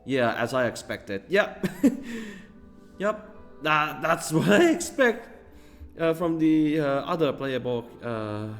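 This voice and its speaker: A man's voice: fairly high pitch, big pitch swings, takes it slowly, a bit bright, soft background music. A man giving casual gameplay commentary as a streamer, rambling with many fillers and chuckles. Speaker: gaming streamer.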